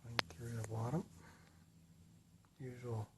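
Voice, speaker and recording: Rough-voiced man rambling casually. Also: mid-range voice, level tone, slow, very noisy. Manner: rambling, self-correcting. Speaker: hobbyist showing his model city. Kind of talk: casual talk-through.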